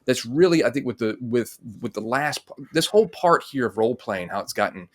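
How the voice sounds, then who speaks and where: A man's voice: mid-range voice, expressive, a little fast. A man hosting a casual interview chat, rambling informally and thinking aloud as he goes. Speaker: podcast host.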